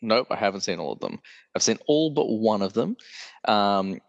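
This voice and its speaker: A man's voice: lowish voice, animated, moderate pace, vocal fry, big-room sound, dull audio. A male podcast host with a congested, nasal voice, chatting casually and correcting himself as he rambles on. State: congested from illness. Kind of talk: casual solo podcast chat.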